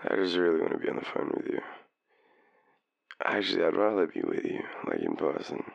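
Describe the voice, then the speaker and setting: A man's voice: deep voice, huge pitch swings, dry recording, lo-fi sound, heavily acted. An Australian man playing a tipsy, shy, lovestruck young guy phoning his crush in an intimate audio roleplay, hesitant and rambling. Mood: tender, nervous. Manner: hesitant, rambling, halting, breathy chuckles. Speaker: voice actor in boyfriend roleplay. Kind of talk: intimate audio roleplay, one-sided phone call.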